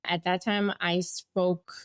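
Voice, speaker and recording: A woman's voice: on the low side, level tone, slow, clear, resonant tone, dry recording, studio quality. A woman in her forties, born in Bulgaria and raised in the United States, telling her life story as a guest in a candid, reflective way. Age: 40s. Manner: reflective, candid, with small laughs and restarts. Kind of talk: personal storytelling interview.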